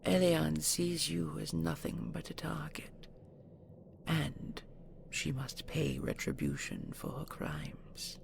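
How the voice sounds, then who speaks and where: A woman playing a possessive, seductive dragon queen in an intimate audio roleplay, slow, doting and imperious, with a breathy, gravelly whisper. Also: deep voice, expressive, a little hiss or hum, full character voice. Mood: possessive, vengeful.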